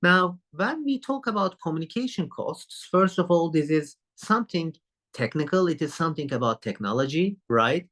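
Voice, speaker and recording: A man from Turkey with a Turkish accent, English not his first language, giving a recorded university lecture over a video call, often checking in with "right?" and pausing on "um". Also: on the high side, lively intonation, fairly bright tone. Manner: frequent tag question "right?" and filler "um". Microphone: computer mic on a video call.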